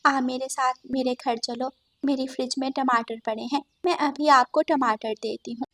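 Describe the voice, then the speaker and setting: A woman voicing a gentle, homely mother bird in a cartoon, soft-spoken and kindly. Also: high voice, fairly even intonation, moderate pace, heavily acted.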